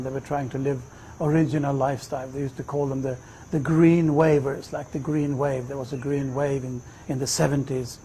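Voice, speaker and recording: Higher-voiced man, gravelly edge. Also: a little mellow, slight background noise, poor audio. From Sweden. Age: 50s. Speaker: speaker telling his conversion story. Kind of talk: personal testimony, unhurried storytelling.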